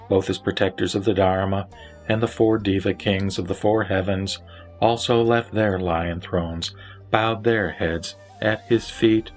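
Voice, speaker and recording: A man with a low voice narrates sacred scripture. His delivery is measured and solemn, with faint instrumental music underneath. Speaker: narrator and reciter of a Buddhist sutra.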